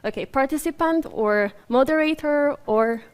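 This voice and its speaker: A woman's voice: high voice, normal speed. A Polish woman giving a live conference talk, chatty and apologetic, with frequent fillers and restarts. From Poland. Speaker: conference speaker. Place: conference room with a live audience. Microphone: handheld or stage microphone while moving around.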